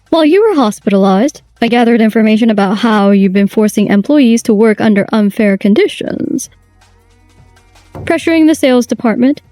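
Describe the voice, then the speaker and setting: Composed, authoritative female chairwoman voiced by a woman, mellow and dry. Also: a little high, no room sound, close to an everyday voice. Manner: calm, authoritative.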